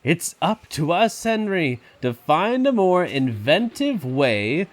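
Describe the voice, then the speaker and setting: Slow, swooping elderly park owner acted by a man. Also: on the high side, very animated. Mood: measured. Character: elderly park owner, delighted, proud, stubbornly optimistic. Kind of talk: fan audio drama acting.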